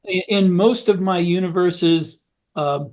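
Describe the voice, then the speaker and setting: A man on a podcast panel giving blunt opinions in a casual, halting way, with many fillers. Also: sits high, darkish tone. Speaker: podcast co-host and author.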